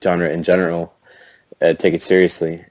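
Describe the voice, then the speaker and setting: Deep-voiced young man, rambling chat. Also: completely flat delivery, moderate pace, darkish tone, dry recording. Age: young adult. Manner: rambling, many fillers and restarts, laughs often. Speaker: podcast guest. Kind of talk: casual podcast chat.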